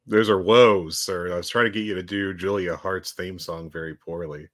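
A man co-hosting a podcast with a husky voice as he gets over a cold, rambling and joking with lots of ums and uhs. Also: low voice, fairly even intonation, moderate pace, fairly bright tone. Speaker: podcast co-host. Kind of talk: casual chat.

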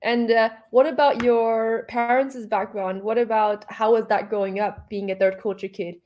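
A woman's voice: on the high side, steady tone, moderate pace, lo-fi sound. A woman hosting and moderating an online talk, asking questions in a friendly, polite way. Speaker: host and moderator.

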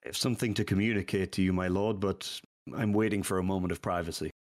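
An actor playing a controlled, by-the-book military captain in an audio drama, speaking formally and with restraint. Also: male voice, low-pitched, steady tone, quick pace, no room sound, studio quality, close to an everyday voice. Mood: controlled ardor.

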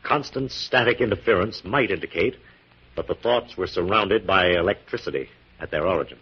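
An actor playing an assured, methodical scientist hero with a measured, authoritative manner, in 1940s radio drama style. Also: male voice, low-pitched. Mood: businesslike, assured. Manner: crisp dictation, measured, authoritative. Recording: dull, muffled 1940s radio recording.

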